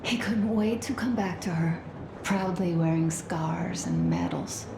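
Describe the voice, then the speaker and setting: Sad gentle young wife in a game, talks slow n confiding, echoey w/ background noise. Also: female voice, on the low side, animated, creaky. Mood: grieving. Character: tender, grieving young soldier's wife. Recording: echoey room, noisy ambience.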